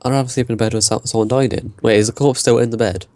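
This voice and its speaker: Young guy, mellow and low, hedging talk, dry sound. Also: fairly even intonation, rapid-fire, no echo. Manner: hesitant, many "like" and "I don't know" fillers. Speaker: podcast co-host. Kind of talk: casual chat.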